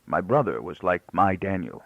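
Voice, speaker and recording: Male narrator as grieving elderly rabbi: grave, halting, creaky, widely swelling and falling delivery. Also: low voice, very animated, no room sound, lo-fi sound, full character voice. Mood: sorrowful, grave. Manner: quiet, solemn, halting speech with emphatic repetition.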